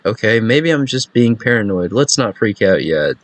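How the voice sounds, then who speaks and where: A man's voice: lowish voice, sing-song, normal speed. A man doing casual let's-play commentary and reading game text aloud, with wry asides. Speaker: gaming channel host.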